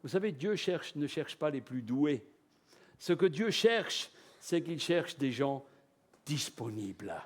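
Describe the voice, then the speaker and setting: A man preaching a live church sermon, lively, asking the congregation rhetorical questions. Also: on the high side, slow pace. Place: church service before a congregation.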